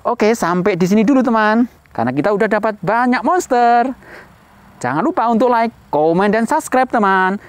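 A man's voice: sits high, lively intonation, normal speed, no room sound. A man hosting a playful toy-hunt vlog, exclaiming and putting frequent questions to his viewers. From Indonesia. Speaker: host of a children's toy-hunt video channel.

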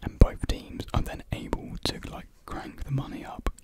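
A British man in his twenties whispering a casual ASMR ramble softly, close to a desktop microphone in a small room. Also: normal speed. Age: 20s. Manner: soft unvoiced whispering. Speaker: ASMR video creator. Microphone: close desktop USB microphone.

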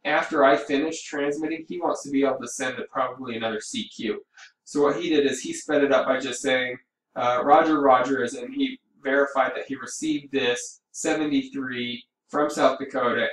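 A man giving a casual, explanatory software walkthrough as a hobby radio operator, thinking aloud as he goes. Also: medium pitch, fairly even intonation, moderate pace. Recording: roomy, distant mic with background noise.

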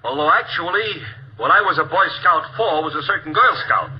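A man's voice: a little high, animated, fairly quick, highs cut off, lightly acted. A man acting a cheeky, chatty cab driver in a 1950s radio drama, with slangy, folksy wisecracks. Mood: jokey. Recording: band-limited, faintly noisy old radio recording.

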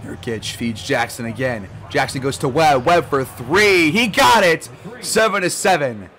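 A slightly hoarse man giving casual gameplay commentary, relaxed and full of fillers, louder and livelier when calling plays. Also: on the high side, measured pace. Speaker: gaming YouTuber. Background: game music.

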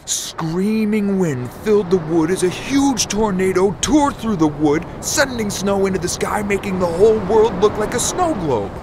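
A man narrates a scripted story in a dramatic style. His voice is on the higher side and rises and falls a lot. Loud ambient rumble runs behind the words. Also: expressive, normal speed, hardly any pauses.

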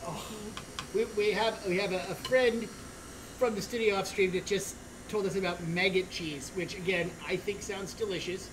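A woman's voice: medium pitch, lightly acted. A woman playing a jovial female orc butcher of a savage tribe, bantering playfully and relishing the gore on a cooking stream. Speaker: co-host. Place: studio kitchen set, indoors. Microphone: far camera. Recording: noisy studio room audio.

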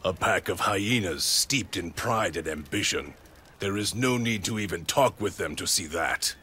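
An actor playing a stern, loyal, honorable warrior general in a video game, speaking in a formal, measured, solemn manner. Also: male voice, sits low, expressive, bright, forceful tone, slight background noise. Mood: scornful. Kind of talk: video game cutscene acting.

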